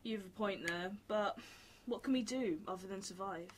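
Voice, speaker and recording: A woman's voice: medium pitch, normal speed, background noise, lightly acted. A woman playing a practical, wry survivor in an amateur radio drama, dry and matter-of-fact.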